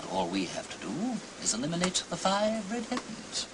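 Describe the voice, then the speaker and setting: Aristocratic amateur sleuth, period mystery: breezy, slightly high, hugely animated, over clear ambient noise. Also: male voice, moderate pace. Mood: breezy, intrigued. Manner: airy, theatrical, swooping delivery. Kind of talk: period detective drama acting.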